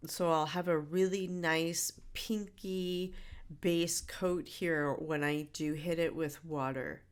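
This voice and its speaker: A middle-aged woman who is a bit stuffy from a head cold, talking through a relaxed drawing tutorial in a chatty way, with many fillers and self-corrections. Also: low voice, takes it slowly, clear, resonant tone. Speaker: art instructor.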